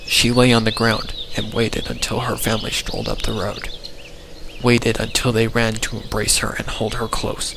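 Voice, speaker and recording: A man's voice: fairly low pitch, gravelly, noisy background. A man reading a scripted horror story as a dramatic narrator. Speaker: story reader. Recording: loud music bed under the voice. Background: music.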